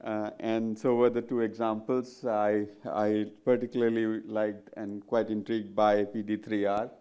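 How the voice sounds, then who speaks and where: A polite, formal man from Nepal chairing a conference session. His voice is smooth and low, and he speaks with little melody. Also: flat delivery, a little slow, warm tone, muffled recording.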